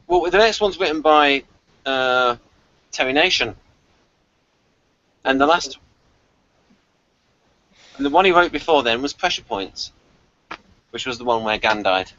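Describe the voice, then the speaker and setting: A man hosting a casual TV review chat, halting and repeating himself as he goes, on a lo-fi home recording. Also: mid-range voice, pushed and bright, no echo. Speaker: podcast review host.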